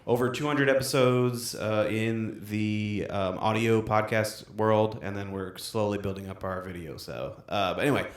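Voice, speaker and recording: A man's voice: lowish voice, fairly even intonation, normal speed, hardly any pauses, echoey room. A young man hosting a casual podcast chat, rambling loosely with lots of fillers. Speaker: podcast host. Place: room recorded on video.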